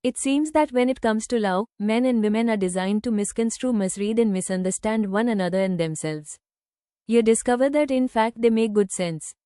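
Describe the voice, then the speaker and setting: A woman's voice: on the high side, normal speed, dark tone, smooth, dry recording, clean studio sound. A woman narrating a prepared practice passage in a scripted read-aloud style.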